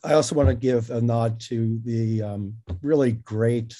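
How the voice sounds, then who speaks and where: A man hosting an informal interview conversation, speaking a little haltingly as he thinks aloud. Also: mid-range voice, steady tone, warm tone. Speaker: host and interviewer. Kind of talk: informal moderated video conversation.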